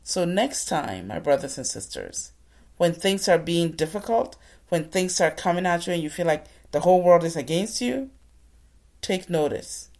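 Low-voiced earnest woman giving an inspirational talk. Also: sing-song, normal speed, darkish tone. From Ghana. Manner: earnest, preacher-like addresses to the audience. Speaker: motivational speaker.

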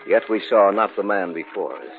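A deep-voiced man of old radio drama, telling his eerie story earnestly. His delivery is measured yet melodically varied. Loud music sits behind a narrow, thin recording. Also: expressive, creaky. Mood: grave narration. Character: level-headed man narrating his own eerie tale. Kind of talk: 1950s radio drama acting and narration.

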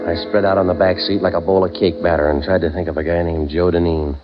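Guy acting a sardonic old-radio private eye, talks real fast and deadpan, muffled vintage broadcast sound. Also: deep voice, over loud music, natural voice. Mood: wry narrating. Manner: sardonic, deadpan, clipped wisecracks. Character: cynical wisecracking waterfront private eye for hire. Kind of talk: 1940s radio noir narration and acting.

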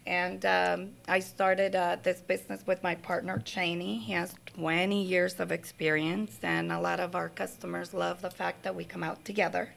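A woman's voice: on the low side, level tone, creaky, fairly bright tone. A young woman from Argentina with an Argentine Spanish accent, a business owner who talks in an eager rush as a guest in a radio studio. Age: young adult. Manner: rushed, eager. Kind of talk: studio interview.

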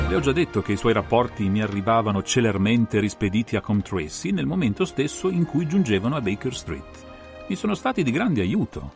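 A man playing a brilliant, self-assured detective who explains a case in a measured, didactic, theatrical way for a dramatised audiobook. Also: mid-range voice, creaky, a little mellow. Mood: methodical, assured. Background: music.

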